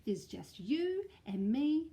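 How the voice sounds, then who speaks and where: A woman's voice: high-pitched, big pitch swings, full character voice. A woman voicing a cheerful mother in a storybook who brushes off her child's fears. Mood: breezy. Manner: bright, brisk, reassuring.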